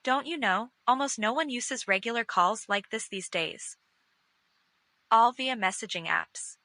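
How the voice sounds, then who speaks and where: A woman's voice: a little high, unhurried, no echo. A woman playing a secretive teenage girl on a phone call in a scripted audio novel, with music behind her. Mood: friendly, evasive. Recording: loud music under the voice.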